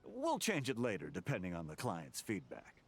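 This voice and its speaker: A man's voice: low-pitched, huge pitch swings, normal speed, exaggerated voice. A man playing a demanding, dismissive office boss in a game, talking in a pushy, condescending way over background music. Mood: bossy. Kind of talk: game voice acting.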